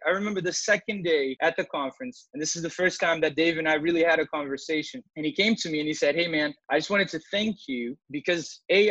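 A man from Brazil with a Brazilian accent, Portuguese his first language, telling a story as a church musician guest in an animated way with laughs. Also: fairly high pitch, breathy edge, no echo, lo-fi sound. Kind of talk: storytelling interview answer.

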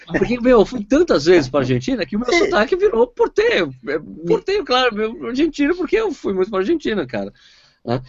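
A man's voice: high-pitched, no echo. A man in casual chat who tells jokes and anecdotes with frequent laughter. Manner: joking, laughing storyteller. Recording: dull lo-fi sound.

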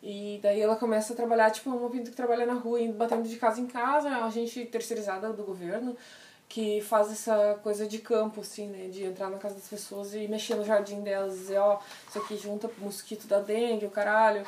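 A woman's voice: mid-range voice, level tone, a little fast, a little mellow, far from the mic. Podcast co-host, woman, loose slangy talker, recorded in a roomy space set back from the mic. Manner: slangy, rambling, laughs often. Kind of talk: casual chat.

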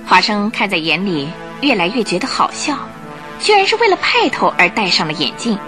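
A woman narrating a radio drama in an expressive, scripted storytelling style. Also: medium pitch, fairly quick, music in the background.

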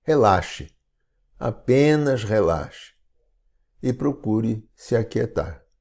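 Low, slow Brazilian man guiding meditation. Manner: calm, soothing, deliberate. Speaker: meditation guide. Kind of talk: guided meditation narration.

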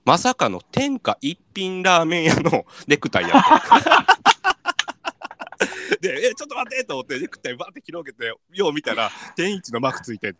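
A young man with a Kansai accent hosting a radio show, telling casual comic stories in an animated way with acted-out reactions. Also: a little high, moderate pace. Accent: Kansai Japanese. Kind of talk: casual comic storytelling chat.

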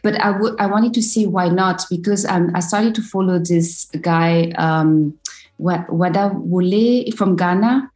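A woman's voice: lowish voice, unhurried, darkish tone. A woman in her thirties from Côte d'Ivoire, speaking as an interview guest with a thoughtful manner and many restarts. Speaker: guest, shea butter entrepreneur. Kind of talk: casual interview conversation. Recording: roomy echo.

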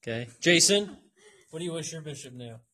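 A man's voice: a little high, animated, a little fast, pushed and bright, breathy edge. Joking man hosting a classroom podcast. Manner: joking, laughs often. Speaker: podcast host and college instructor. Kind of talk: casual classroom podcast chat. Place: classroom on a college campus.

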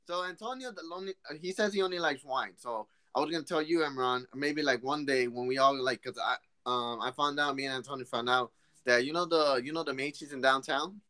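A man in his twenties co-hosting a casual podcast chat, rambling and restarting his sentences, getting excited. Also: mid-range voice, fairly quick, clear, resonant tone. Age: 20s. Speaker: podcast co-host.

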